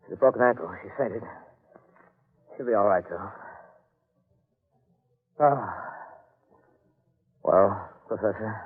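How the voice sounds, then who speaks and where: An actor playing a brooding, ominous man with an eerie calm, measured and portentous, in 1940s radio drama. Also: on the low side, breathy edge, full character voice. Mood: grim, terse. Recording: muffled old radio recording.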